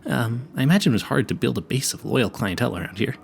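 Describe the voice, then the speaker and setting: A man acts out a clueless young vampire hunter in an audio roleplay. His pitch swings widely as he stammers and rambles, nervous and eager, with a creaky edge to his voice. He talks straight to the listener and keeps losing his thread in earnest tangents. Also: animated, fast talker, a little hiss or hum. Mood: eager, rambling.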